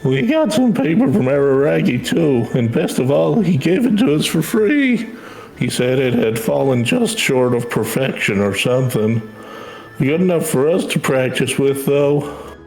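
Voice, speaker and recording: A man voicing an excitable, chatty young schoolchild in video game dialogue read aloud. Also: mid-range voice, measured pace, echoey room, soft background music, poor audio. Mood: chatty, pleased. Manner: eager, chatty. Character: excitable high-voiced young child pupil.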